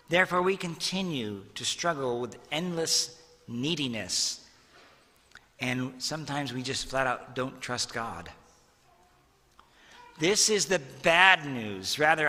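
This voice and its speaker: A man, a priest, giving an earnest, measured homily and asking the congregation rhetorical questions. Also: mid-range voice, bright, forceful tone. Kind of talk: sermon. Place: church. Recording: long church reverb.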